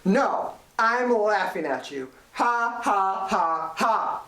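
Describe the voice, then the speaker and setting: A man's voice: on the high side, measured pace, warm tone. A man playing a crooked, smug attorney and gleefully wicked schemer in a comedy audio drama, melodramatic in delivery.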